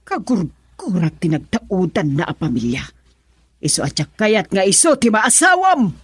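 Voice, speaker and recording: A woman's voice: mid-range voice, big pitch swings, measured pace, breathy edge, no echo, clean studio sound, full character voice. A Filipino woman playing a stern, controlling middle-aged mother in a radio drama. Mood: angry, scolding.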